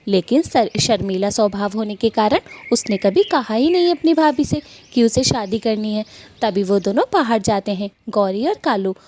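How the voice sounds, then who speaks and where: A woman's voice: mid-range voice, darkish tone, a little hiss or hum. A woman telling a scripted cartoon story as its narrator.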